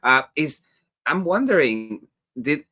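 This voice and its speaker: A man's voice: a little high, very animated, slow pace, pushed and bright, slightly rough. A man hosting an interview, who speaks appreciatively and hesitates as he frames a question. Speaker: podcast host. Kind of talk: interview conversation.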